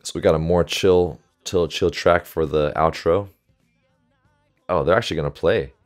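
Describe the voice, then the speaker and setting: A man's voice: low-pitched, steady tone, normal speed, faint music behind. A man hosting a reaction video, thinking aloud in casual commentary into a close desktop microphone. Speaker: reaction video host, volleyball coach. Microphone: close desktop USB microphone.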